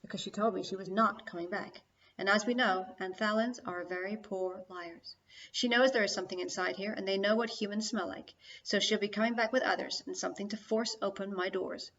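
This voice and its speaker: Woman voicing a wry, unhurried talking spaceship. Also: medium pitch, steady tone, a bit bright, lo-fi sound, natural voice. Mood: dry, wry. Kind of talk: audiobook character dialogue.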